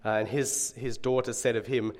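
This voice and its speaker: Middle aged guy preaching, bit of a rasp, mid pitch, chatty but earnest sermon style. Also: level tone, normal speed, darkish tone. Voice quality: slightly raspy. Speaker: guest preacher and Bible college teacher. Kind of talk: conversational sermon.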